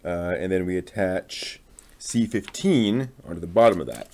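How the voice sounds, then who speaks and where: A man casually talking through a hands-on hobby build, halting and muttering to himself as he works. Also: low voice, animated, darkish tone. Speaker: hobby channel host building a model kit on stream.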